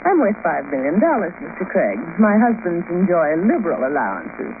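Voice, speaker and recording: A woman's voice: lowish voice, huge pitch swings, moderate pace. On a hissy, narrow vintage radio recording, a wealthy woman of fifty. She is arch and coolly flirtatious, her intonation rising and dipping freely in languid, haughty radio-drama phrases. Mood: cool, bored. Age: 50s. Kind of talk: 1950s radio detective acting. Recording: noisy, tinny old radio recording.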